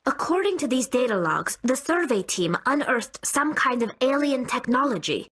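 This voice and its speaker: An actress playing a serious, analytical squad member reporting findings in a video game. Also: female voice, high-pitched, very animated, normal speed, fairly bright tone, husky, heavily acted.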